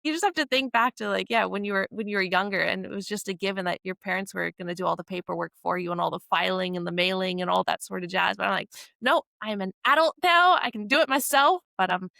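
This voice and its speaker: A woman's voice: mid-range voice, fast, creaky, bright, forceful tone, dry recording. A woman in her twenties from Canada, hosting a podcast in a bubbly, upbeat way. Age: 20s. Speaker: podcast host. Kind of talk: casual podcast chat.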